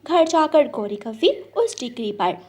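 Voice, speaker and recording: A woman's voice: on the high side, expressive, measured pace, darkish tone. A woman reading a scripted children's story as narrator.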